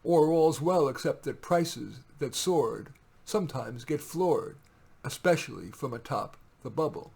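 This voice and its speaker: Male author reading own verse. Unhurried, rough-edged voice, deliberate and wry. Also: medium pitch, expressive, a little mellow. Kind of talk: poetry reading with informal asides.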